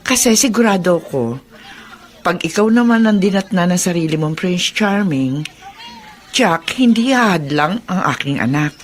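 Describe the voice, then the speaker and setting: An actress playing a gentle middle-aged mother giving advice in a Filipino radio drama. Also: on the low side, animated, measured pace, music in the background. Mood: gently advising.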